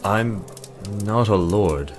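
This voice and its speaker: A man playing a solemn, literal-minded warrior in a visual novel, speaking formally and with earnest deadpan. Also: fairly low pitch, very slow. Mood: flat protest. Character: solemn, literal-minded adult male guard turned reluctant lord. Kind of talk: visual novel character acting. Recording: voice under prominent music.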